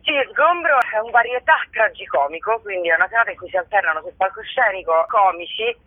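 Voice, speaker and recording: A woman's voice: medium pitch, expressive, quick pace, no echo. Woman on a thin phone line. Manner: hesitant, searching for words, chatty. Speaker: interview guest, performer and writer. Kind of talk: casual radio interview. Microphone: phone call. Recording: thin, band-limited telephone line.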